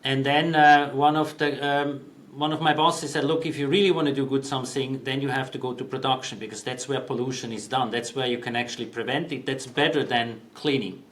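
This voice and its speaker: A man in his fifties, originally from Switzerland, answering interview questions thoughtfully, with frequent pauses and restarts. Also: mid-range voice, steady tone, fairly bright tone. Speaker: guest, company CEO.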